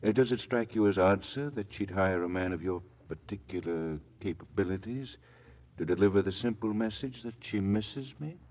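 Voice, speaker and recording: An actor playing a deferential, guarded servant in a radio drama, formal and politely hesitant. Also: male voice, fairly low pitch, moderate pace, darkish tone, lightly acted. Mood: formal, probing.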